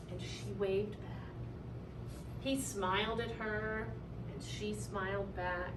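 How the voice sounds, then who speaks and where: A woman reading a children's picture book aloud in an animated, deliberate way with dramatic emphasis. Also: a little high. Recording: echoey room, distant mic, clear noise.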